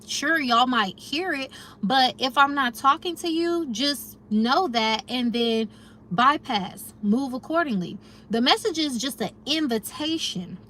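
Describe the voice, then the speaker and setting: Chatty American woman, higher voice. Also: animated, unhurried, a bit bright, slight background noise, low-quality recording. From United States. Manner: chatty, addresses viewers as y'all, reflective. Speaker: lifestyle vlogger. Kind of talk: casual chat to viewers.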